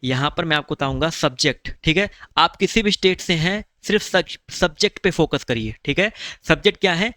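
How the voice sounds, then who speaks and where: A man's voice: on the high side, expressive, brisk, few pauses, sharp tone, no room sound. A man, explaining exam preparation in a chatty, reassuring video lesson and calling viewers brother. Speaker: exam-prep teacher.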